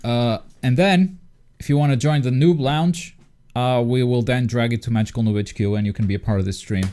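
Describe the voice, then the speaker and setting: A man's voice: medium pitch, a little fast, mellow. Wry man hosting a casual gaming stream. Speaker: livestream host.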